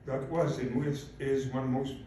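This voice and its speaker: A man's voice: mid-range voice, fairly even intonation, normal speed, dark tone. A man in his eighties from Poland, a native Polish speaker, giving a prepared, formal speech of personal testimony. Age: 80s. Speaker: invited speaker, Holocaust survivor. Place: large echoing room at a public event. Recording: very echoey hall, far off, faint noise.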